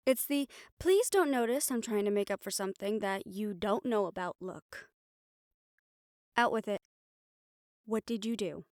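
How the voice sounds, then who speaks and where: A woman's voice: medium pitch, expressive, unhurried, pauses often, a little mellow, no echo, clean studio sound, close to an everyday voice. A woman playing a caring, teasing siren-banshee in an intimate audio roleplay, speaking with playful affection. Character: teasing, caring female siren-banshee, fond of her partner.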